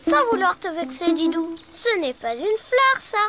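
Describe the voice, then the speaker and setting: An actor voicing a tiny, excitable, playful child friend in a preschool cartoon, with an eager, exclaiming delivery. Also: very high voice, unhurried, exaggerated voice. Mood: curious, eager. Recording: loud children's music behind the voice.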